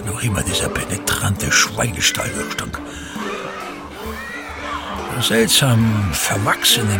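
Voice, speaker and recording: An actor playing a pompous, tyrannical ageing actor-manager, declaiming contemptuously and repetitively in a radio drama. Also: male voice, lowish voice, gravelly, exaggerated voice. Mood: grumbling, disdainful. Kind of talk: theatrical German radio drama monologue.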